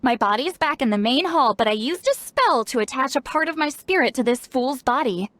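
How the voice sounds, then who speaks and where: A woman's voice: high voice, lively intonation, fairly quick, a bit bright, no room sound. A woman playing a blunt, self-assured, protective female spellcaster in a video game. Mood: blunt, unbothered.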